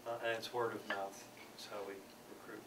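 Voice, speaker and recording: A man presenting a peace program at a conference, speaking haltingly with many fillers and restarts. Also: fairly low pitch, little pitch movement. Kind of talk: conference panel talk. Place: church conference hall. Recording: distant, noisy conference recording.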